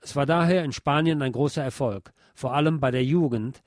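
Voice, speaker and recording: A man narrates from a written text in a formal, literary register. His voice sits at a middle pitch and his delivery is measured. The recording is dry, with no room sound. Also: a little mellow, no room sound. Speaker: narrator reading a history text. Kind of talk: scripted narration, reading aloud.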